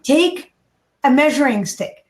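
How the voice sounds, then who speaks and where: A woman with a high voice talks in an animated, coaching way, stressing her points and turning to address listeners directly. Her voice rings in a roomy, echoing space. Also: measured pace. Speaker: guest, kindness speaker. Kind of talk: animated advice-giving interview talk.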